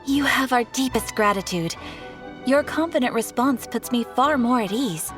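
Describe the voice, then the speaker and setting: A woman's voice: a little high, lively intonation, normal speed, music in the background, close to an everyday voice. A woman playing a composed female guild receptionist in a game, giving a formal, courteous briefing.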